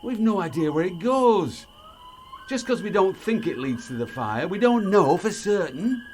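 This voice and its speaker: An animated, gruff British man of middle age in an audio drama. He is a blunt ex-miner who grumbles in colloquial speech, swinging widely in pitch, with faint noise behind him. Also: a little slow. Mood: wary. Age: middle-aged. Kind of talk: naturalistic audio drama acting.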